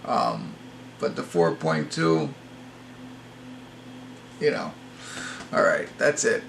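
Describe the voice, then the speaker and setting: A man's voice: low-pitched, wildly swooping pitch, takes it slowly, creaky, fairly bright tone, a little hiss or hum. A man giving a casual, unscripted movie review to viewers, rambling and hesitant, with an apologetic way of talking. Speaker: amateur movie reviewer, host.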